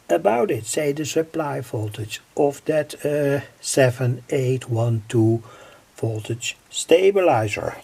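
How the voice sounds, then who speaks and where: A man presents a technical how-to in an informal, halting style. His pace is very unhurried and his voice is rough and husky, with plenty of fry in it. He leans often on short filler words as he explains. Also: mid-range voice, a little mellow. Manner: halting, repeats filler phrases like "say". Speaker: presenter of electronics project videos. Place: at home, indoors.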